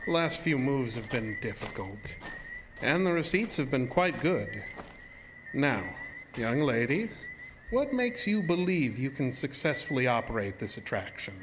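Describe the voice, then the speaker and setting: A man's voice: medium pitch, very animated, noisy background. Sinister, swooping-voiced carnival showman. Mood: probing, smooth. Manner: formal, measured, mock-courteous. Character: sinister, politely menacing male carnival dark-ride illusionist. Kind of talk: horror audio drama acting.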